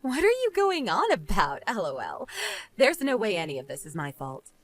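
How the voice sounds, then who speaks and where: A woman's voice: medium pitch, wildly swooping pitch, normal speed. A woman playing a smug, vengeful wife and former bullying victim in scripted story dialogue, taunting and sarcastic. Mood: dismissive.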